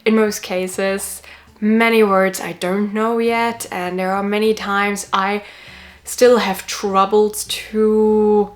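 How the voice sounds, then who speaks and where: A young woman vlogging in a relaxed, chatty way, full of fillers and restarts, for whom Swedish is not a first language. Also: mid-range voice, slow pace. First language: not Swedish; Swedish is her sixth language. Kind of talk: casual talk to camera.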